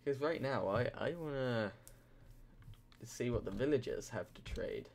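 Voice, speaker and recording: A man's voice: mid-range voice, lively intonation, unhurried, slight background noise. A young man just woken up, rambling casually and drowsily as he comments on his gameplay. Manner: rambling, drowsy, self-mocking. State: just woken up, deep morning voice.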